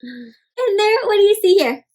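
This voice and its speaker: Lady doing a kids read aloud, high voice, asks lots of questions, explains stuff simply. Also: sing-song, measured pace, mellow. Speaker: children's read-aloud host, teacher.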